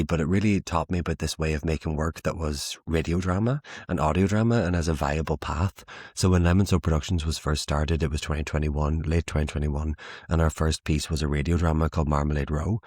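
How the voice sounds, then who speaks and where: A young Irish man in his twenties, chatting in an interview as a theatre maker, self-mocking and quick to laugh. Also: a bass voice, a little mellow, no room sound, studio quality. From Ireland. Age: 20s. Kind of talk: casual interview chat.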